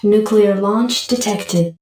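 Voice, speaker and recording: A woman's voice: mid-range voice, takes it slowly, darkish tone, slight background noise, natural voice. A woman voicing an efficient military adjutant in a video game, with clipped, businesslike announcements. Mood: neutral, efficient. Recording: heavily echoing, distant game audio.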